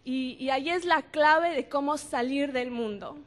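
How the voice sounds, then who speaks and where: A young woman from Mexico with a high voice answers a panel question. She explains a scripture passage earnestly and pauses to think as she goes.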